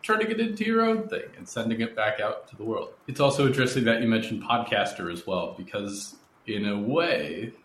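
A man's voice: fairly low pitch, lively intonation, fairly quick, hardly any pauses, a bit bright. A man hosting a conversational podcast interview in a reflective, discursive way. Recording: roomy echo, faint noise.

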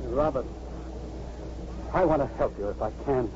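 A man's voice: medium pitch, moderate pace, darkish tone, lightly acted. An actor in a 1950s radio drama playing a guilt-ridden middle-aged father, stammering, hesitant and apologetic. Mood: regretful. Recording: muffled, hissy 1950s radio recording.

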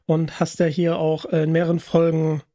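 High-voiced, mellow male interviewer. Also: completely flat delivery, moderate pace, no echo, studio quality. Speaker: interviewer, podcast host. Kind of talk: friendly prepared interview questions. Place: studio, close dry sound.

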